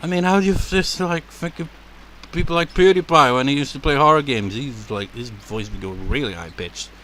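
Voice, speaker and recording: A man with a deep voice giving casual, rambling commentary as a let's play host, laughing and swearing as he goes. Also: moderate pace, fairly bright tone, a little hiss or hum. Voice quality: naturally deep voice.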